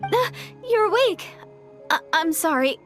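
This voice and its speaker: High-voiced, gentle young traveler. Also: female voice, big pitch swings, unhurried, faint music behind, exaggerated voice. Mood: apologetic, concerned. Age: young adult. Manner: hesitant, soft-hearted, earnest. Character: gentle, earnest, apologetic young woman traveler. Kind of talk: video game voice acting.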